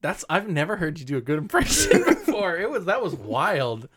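A man on a casual podcast, tossing in dry, wry comments. His pitch moves freely and with life. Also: wildly swooping pitch, normal speed, a bit bright, slightly rough. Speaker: podcast co-host. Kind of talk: casual chat.

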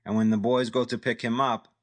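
A man's voice: mid-range voice, little pitch movement, a little fast, pitched above the performer's own voice, close to an everyday voice. A man doing a menacing gangster. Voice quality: clipped broken English. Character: menacing male crime boss, foreign.

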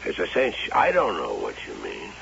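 A brooding carnival doll-maker. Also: male voice, sits low, wildly swooping pitch, moderate pace, bright, forceful tone, a little hiss or hum, heavily acted. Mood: defensive. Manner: brooding, dreamy, creaky. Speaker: carnival barker. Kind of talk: 1970s radio drama acting. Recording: dull old radio broadcast with music.

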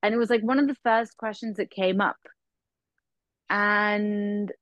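A woman's voice: mid-range voice, fairly even intonation, measured pace, no room sound, muffled recording. A woman in her thirties, a podcast host chatting casually in conversational discussion. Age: 30s.

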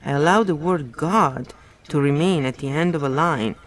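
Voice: medium pitch, normal speed, close to an everyday voice. A reader voicing a mild, distracted, pedantic middle-aged male poet held in a prison cell. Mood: vague. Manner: mild, distracted, fussily precise. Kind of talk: audiobook-style reading aloud.

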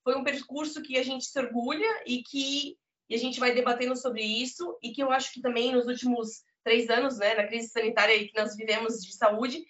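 A woman from Brazil talks earnestly about her own story, with a voice pitched a little high. The audio sounds muffled and lo-fi. She keeps leaning on the point and asks "né?" as she goes. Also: steady tone, normal speed, hardly any pauses, fairly bright tone. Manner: earnest, emphatic storytelling with frequent "né?". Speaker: podcast guest. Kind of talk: conversational interview.

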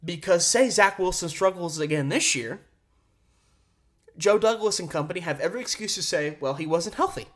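A male sports podcast host with a very lively, swinging pitch range. He speaks emphatically and with strong opinions, stretching key words for stress as he delivers solo commentary. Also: moderate pace.

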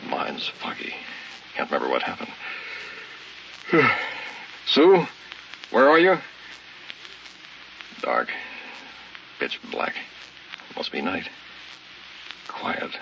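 An actor playing a groggy man waking buried alive, muttering in halting fragments in an old radio horror monologue. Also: a bass voice, creaky, heavily acted. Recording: muffled, distant, noisy old radio broadcast.

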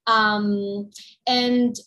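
A woman's voice: medium pitch, little pitch movement, extremely slow, pushed and bright, dull audio. A woman from East Germany with a German accent, telling her life story as a podcast guest in a candid, rambling way with many ums. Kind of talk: casual interview chat.

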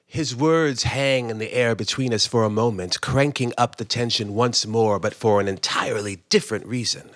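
A man reading a comic story in the first person, with dramatic, expressive narration that savors each phrase. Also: medium pitch, normal speed, hardly any pauses. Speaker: guest story reader. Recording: music bed under the voice. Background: music.

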